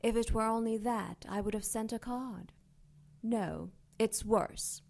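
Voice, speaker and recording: A woman playing an imperious, sardonic ancient dark angel in an audio drama, taunting and theatrical in a deliberate way. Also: fairly high pitch, gravelly. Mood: gloating.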